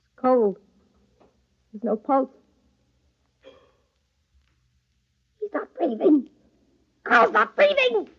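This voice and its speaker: An actress playing a frightened, guilty wife in an old radio thriller, with breathless, faltering, melodramatic delivery. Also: female voice, sits high, very animated, slow pace, fairly bright tone, husky, full character voice. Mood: shocked. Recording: dull, lo-fi old radio recording.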